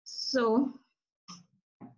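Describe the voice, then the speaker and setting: A woman teaches a writing session over a call. She speaks in a relaxed, conversational way and often stops to ask the group questions. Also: extremely slow, lo-fi sound. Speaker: writing workshop leader. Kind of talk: online workshop presentation.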